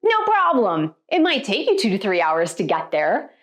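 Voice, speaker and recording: An American woman gives a motivational spiritual talk with a very lively, varied pitch. Her delivery is conversational and emphatic, like a meditation coach explaining ideas straight to her viewers. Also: normal speed. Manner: emphatic, conversational, with rhetorical check-in questions.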